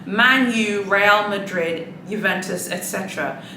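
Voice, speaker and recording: A woman's voice: mid-range voice, unhurried, sharp tone. A woman whose first language is Swahili, casually chatting to her viewers in a sassy, rambling manner with many asides. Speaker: luxury handbag vlogger. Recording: echoey room with background noise.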